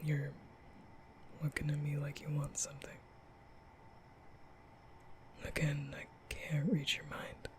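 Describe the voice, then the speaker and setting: A man playing a nervous, gentle young vampire in an intimate ASMR roleplay, speaking hesitantly in a breathy half-whisper. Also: on the high side, monotone, a little hiss or hum, close to an everyday voice. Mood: amused, gentle. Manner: hesitant, stammering, slow.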